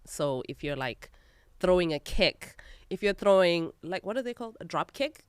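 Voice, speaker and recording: A woman's voice: fairly low pitch, expressive, moderate pace, clear, resonant tone, no echo. A young adult woman from Zimbabwe, a guest in a casual interview, telling stories with frequent laughter. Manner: laughs often, lighthearted storytelling. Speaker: guest, magazine editor.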